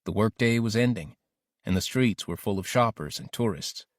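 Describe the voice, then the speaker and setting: A man's voice: lowish voice, moderate pace, no echo, clean studio sound, lightly acted. A man narrating an audiobook diary as an ambitious, lovesick teenage boy, introspective and intense. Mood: brooding. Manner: introspective, self-questioning, intense.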